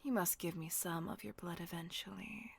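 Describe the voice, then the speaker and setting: A woman voicing a gentle, protective female vampire caretaker in a whispered audio roleplay, soothing and coaxing. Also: lowish voice, normal speed. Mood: tender whisper. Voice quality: soft breathy whisper.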